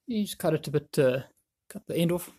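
A man presenting a hands-on how-to in a casual, offhand way, thinking aloud as he works, with a husky, creaky voice. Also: on the high side, animated, fairly quick, mellow. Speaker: DIY video presenter.

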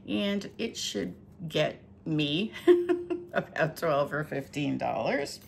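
Woman, middle-aged, unhurried, slightly low voice, chatty vlog, faint hiss behind. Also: lively intonation, gravelly. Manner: chatty, hesitant with many fillers. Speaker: antique reseller showing a thrift haul. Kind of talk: casual show-and-tell vlog.

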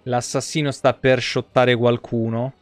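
A man commentates a game live in a clean, smooth voice. His pitch stays fairly level as he grumbles and swears casually. Music plays faintly behind him. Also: flat delivery, measured pace, no echo. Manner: grumbling, foul-mouthed. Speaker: video game streamer. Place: indoor room at a streaming desk.

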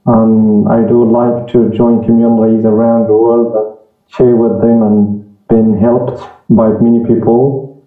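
A man from Morocco introduces himself on a video call in an even, level voice, polite and steady with filler pauses. The audio is tinny and phone-like, with echo and faint music behind. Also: lowish voice. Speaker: call participant. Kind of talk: casual self-introduction.

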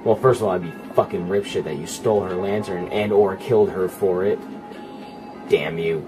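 A man's voice: deep voice, normal speed, music in the background. A man giving casual, profane commentary as he plays a horror game, talking straight at the game. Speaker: gamer playing and commenting. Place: indoors, filming a TV screen while playing. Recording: noisy room capture with game sound.

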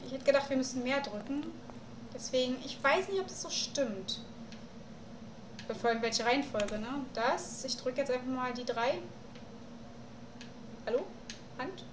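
A woman's voice: high-pitched, animated, unhurried, pauses often, echoey room, background noise. A young woman doing casual let's play commentary, thinking aloud through puzzles at home on a mic. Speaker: let's player.

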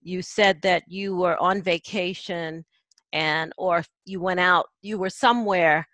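A woman's voice: on the low side, fairly even intonation, measured pace, a bit bright, no echo. An older woman hosting a casual chat, enthusiastic and laughing often. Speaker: host. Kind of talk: casual video-call chat.

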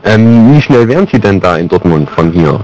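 A man's voice: on the low side, animated, moderate pace, darkish tone. A man making a prank phone call, chatting casually in a straight-faced, hesitant way. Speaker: prank caller. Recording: noisy amateur recording of phone prank.

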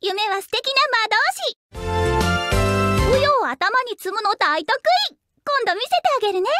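A woman's voice: very high-pitched, lively intonation, moderate pace, loud music behind the voice. A woman voicing a cheerful, bubbly young girl sorceress in a puzzle game. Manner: bubbly, boastful.

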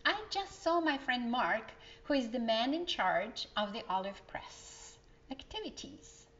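Storybook uncle, read by a woman: friendly, hearty, unhurried, slightly lo-fi. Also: fairly high pitch, very animated, a bit bright. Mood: friendly, eager. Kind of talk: children's storybook character reading.

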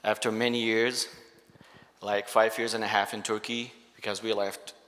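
A middle-aged man with an Iraqi accent and Arabic as his first language, giving an earnest, halting testimony in English. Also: on the low side, level tone, a bit bright. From Iraq. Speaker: church guest giving testimony. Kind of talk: spoken personal testimony. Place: church sanctuary stage. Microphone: handheld microphone on a stand. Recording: reverberant church hall sound.

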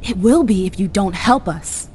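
A woman's voice: medium pitch, animated, normal speed, darkish tone, a little hiss or hum, lightly acted. An actress playing a determined, persuasive female vampire in a video game cutscene, assertive and blunt. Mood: cool, measured.

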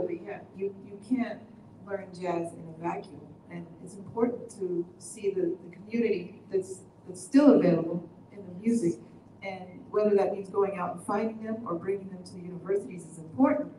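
A woman jazz musician and teacher speaks in a measured, thoughtful way, dropping in fillers as she goes. Her voice is warm and mellow. She is recorded from across a large, echoing room. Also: medium pitch, steady tone. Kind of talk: panel discussion. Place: large indoor studio room, seated panel. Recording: roomy, distant, slightly noisy.

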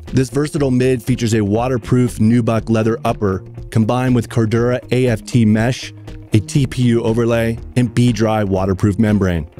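A man reading a steady, informational product description voice-over. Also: medium pitch.

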